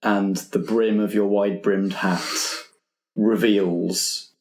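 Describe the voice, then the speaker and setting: A man's voice: on the low side, little pitch movement, takes it slowly, darkish tone. A man acting as game master, narrating a tabletop roleplaying game in descriptive scene-setting language. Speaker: game master and podcast host. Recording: roomy sound with music underneath.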